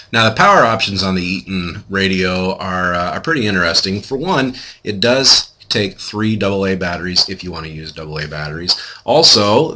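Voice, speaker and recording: A man's voice: sits low, sharp tone, slight background noise. A middle-aged American man giving a casual product review in a chatty, self-deprecating, emphatic way. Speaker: home video blogger.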